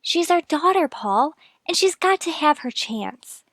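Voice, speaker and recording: A woman acting in an old-time radio drama as a gentle, worried, devoted wife and mother, tender and earnest. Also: high voice, very animated, unhurried, no echo, clean studio sound, heavily acted. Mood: tender reassurance.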